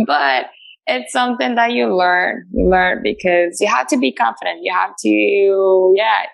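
Woman guest from Latin America, gravelly chatty delivery full of fillers. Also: medium pitch, unhurried, highs cut off. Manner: chatty, many fillers and restarts, laughs often. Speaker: radio show host, guest. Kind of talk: casual interview answers.

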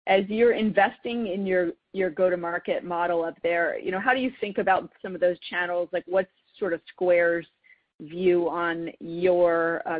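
A woman's voice: lowish voice, no room sound. A woman, a financial analyst, asking a detailed question on an earnings call in a businesslike, conversational way. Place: phone call. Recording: dry, tinny, narrow phone line.

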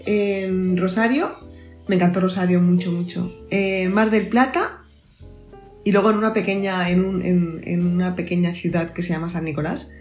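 A woman from Spain with a Spanish accent, a podcast guest who talks in a lively, expressive way and laughs easily. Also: on the low side, normal speed, roomy sound, faint music behind. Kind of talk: casual interview chat.

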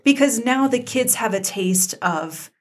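A woman's voice: on the high side, animated, measured pace. Southern American woman, earnest podcast co-host. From American South (Georgia). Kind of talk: conversational TV recap discussion.

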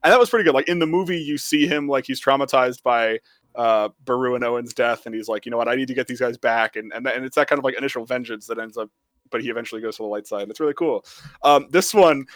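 A man chatting casually in a group fan review, rambling and retelling things in animated asides. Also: medium pitch, quick pace, no room sound. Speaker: podcast panelist reviewing a radio drama.

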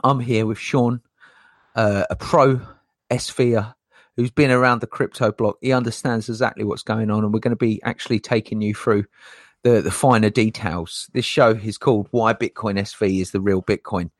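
A man's voice: fairly even intonation, moderate pace, dry recording, studio quality. A man hosting a casual crypto talk show, playful and showy, laughing often. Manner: playful showman, jokey put-on low announcer voice, laughs a lot. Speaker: crypto channel host.

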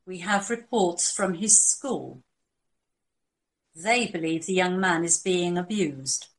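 A woman playing a calm, businesslike doctor who directs covert operations in a scripted audio drama, speaking in a serious, measured way. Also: fairly low pitch.

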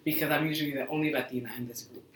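A woman's voice: sits low, steady tone, very fast, distant mic, a crowd in the background. A woman from Panama telling a story animatedly in a casual group chat, with a faint echo of the room. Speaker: discussion panelist. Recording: echoey room, faint noise.

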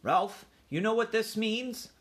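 A man's voice: high-pitched, wildly swooping pitch, slow, a bit bright, voice pushed up, full character voice. A man voicing an agitated mother mouse in a storybook, flustered and prone to stammering. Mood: alarmed, fretful.

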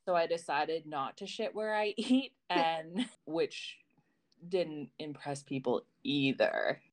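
A woman's voice: deep voice, takes it slowly. A woman co-hosting a podcast in casual chat, telling personal stories in a candid, informal way with some swearing. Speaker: podcast co-host.

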